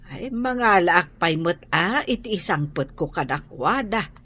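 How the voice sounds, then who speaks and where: Woman playing slow-paced, bright Ilocano parent. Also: lowish voice, expressive, breathy edge, heavily acted. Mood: casual. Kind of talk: Ilocano radio drama acting.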